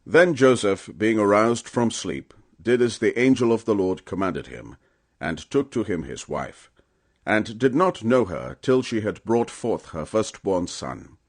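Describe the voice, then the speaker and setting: A man with a fairly low voice narrates scripture for an audiobook. His delivery is solemn and measured, with deliberate phrasing. The intonation is highly animated, swinging widely in pitch for dramatic weight.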